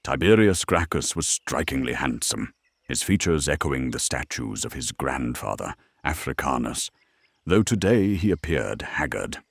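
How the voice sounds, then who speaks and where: A man reading scripted, dramatic narration for a historical audio drama in a very deep, gravelly, husky voice. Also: sing-song, a little slow, dry recording.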